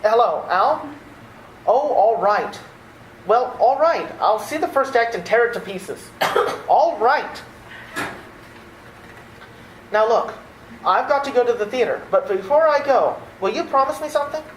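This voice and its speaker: A woman plays a flustered, exasperated nephew in a radio play, halting and repeating himself. The recording is echoey with faint noise. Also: on the high side, lively intonation. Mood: harried. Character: flustered, exasperated nephew, a harried theater critic. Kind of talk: old-time radio theater acting.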